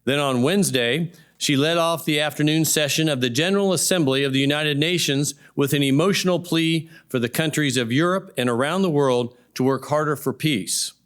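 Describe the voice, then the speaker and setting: A man's voice: a little high, normal speed, few pauses, fairly bright tone, clear, resonant tone. A man acting as college host, reading a prepared introduction of a guest speaker to an audience. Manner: reads a prepared, upbeat introduction. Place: college hall, live audience.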